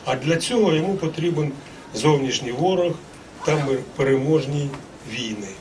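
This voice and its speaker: Panelist reading formal remarks: a man with a somewhat high voice and a measured, official delivery, caught from across the room. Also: animated. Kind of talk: formal prepared statement at a panel discussion. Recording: distant mic with faint room noise.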